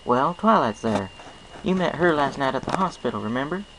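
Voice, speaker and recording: A man acts out a young country mare from a storybook, confident and reassuring, with a folksy drawl. The voice sits lifted in pitch, with some gravel in it and a faint noise behind. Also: lively intonation, normal speed, close to an everyday voice. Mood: encouraging. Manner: folksy drawl with dropped g's. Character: friendly, honest young female country pony, confident and reassuring.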